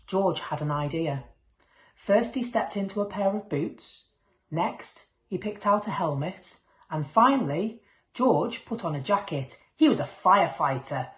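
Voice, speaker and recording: A woman's voice: on the low side, measured pace. A woman reading a children's picture book aloud as a storyteller, expressively acting out the dialogue. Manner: expressive, acts out dialogue and sound words. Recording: echoey room.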